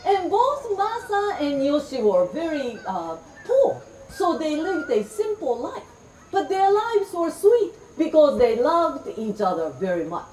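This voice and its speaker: A middle-aged woman from Japan performs folk tales for a room of children. Her voice is high and swoops with bright, playful energy. She speaks slowly and clearly and coaxes the audience to repeat words. The microphone is set well back, so her voice carries a roomy echo and faint background noise. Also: very animated, far from the mic. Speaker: storyteller. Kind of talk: interactive storytelling for children.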